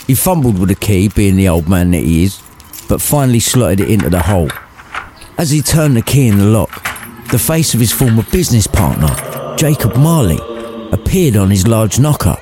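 A man's voice: lowish voice, sing-song, normal speed, background noise. A man narrating a scripted comic story in a wry, irreverent manner.

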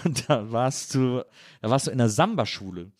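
A man's voice: mid-range voice, lively intonation, moderate pace, no echo. A man with a mid-range voice hosts a casual podcast conversation. He circles into his questions with repeated words and small hesitations, recorded dry with very little room sound. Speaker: podcast host.

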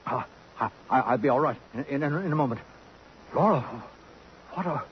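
An actor, a man, playing an arch, witty, affected society gentleman in a theatrical 1950s radio drama style. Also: medium pitch, expressive, moderate pace, natural voice. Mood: faint, shocked. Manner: affected, pompous, sardonic. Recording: dull, hissy old radio recording.

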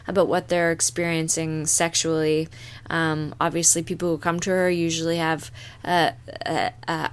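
A woman's voice: on the low side, a bit bright, smooth. A woman co-hosting a casual podcast, talking in a hesitant way with many ums and restarts. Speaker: podcast co-host.

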